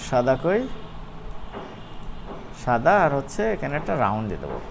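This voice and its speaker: A man with a Bangladeshi accent giving an informal, playful software tutorial as an instructor, often checking in with his listeners. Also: mid-range voice, wildly swooping pitch, normal speed, vocal fry, slight background noise. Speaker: animation instructor.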